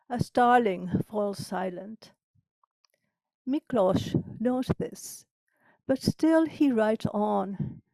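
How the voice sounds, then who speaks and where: Slow, mellow woman poet from Mexico. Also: on the high side, no echo, poor audio. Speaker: poet reading own work. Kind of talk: introducing and reciting own poem.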